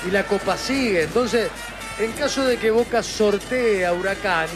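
High, lively radio announcer. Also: male voice, normal speed, music in the background. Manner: lively, bantering presenter. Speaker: radio play-by-play announcer and show host. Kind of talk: radio football broadcast.

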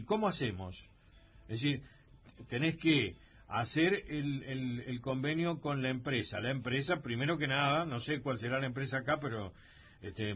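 A man's voice: medium pitch, a bit bright, husky. A man hosting a radio talk show, thinking aloud with many hesitations and fillers. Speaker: radio host.

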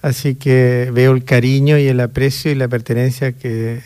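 A man's voice: medium pitch, flat delivery, moderate pace, mellow, clean tone, dry recording. A man with a Chilean accent, an orchestra conductor speaking as a radio interview guest. He talks thoughtfully and hesitates often with fillers.

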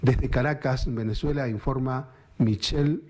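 Formal male news anchor with a mellow tone. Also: medium pitch, a little slow. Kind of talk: scripted news reading.

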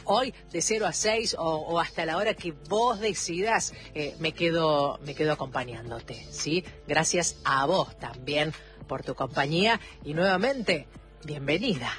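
A woman's voice: low voice, expressive, moderate pace, a bit bright, faint music behind. An Argentine woman hosting late-night radio, talking intimately and affectionately to listeners with effusive, emphatic repetition. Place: radio studio.

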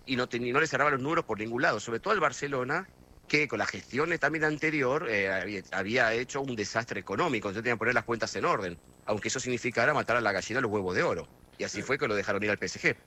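A man's voice: medium pitch, pushed and bright. A middle-aged Argentine man from near Buenos Aires, a guest correspondent on a radio phone interview, talkative and full of hesitations and restarts. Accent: Argentine, Buenos Aires area.

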